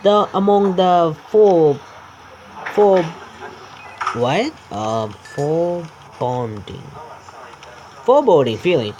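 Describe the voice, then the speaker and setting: Casting: male gaming YouTuber, higher-than-average voice, halting read-aloud with repeats and asides, faint noise. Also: expressive, a little mellow, muffled recording. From India. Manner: stumbles and repeats words, mutters asides. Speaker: gaming YouTuber reading a visual novel aloud.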